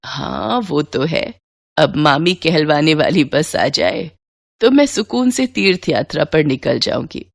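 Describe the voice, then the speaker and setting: A woman's voice: low voice, animated, unhurried, vocal fry, no echo, studio quality, voice pushed down, exaggerated voice. A woman voicing an affectionate, settled older mother in an acted storybook dialogue. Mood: tender, contented.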